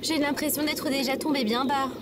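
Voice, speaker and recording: A woman playing a pessimistic, sarcastic robot companion in comedic audio fiction, with a deadpan, complaining manner. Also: high-pitched, a little fast, lightly acted. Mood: gloomy, sarcastic. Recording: loud background noise.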